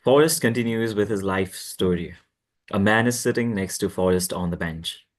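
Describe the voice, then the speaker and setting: A man's voice: fairly low pitch, moderate pace. A man narrating a script at a table read, reading out stage directions.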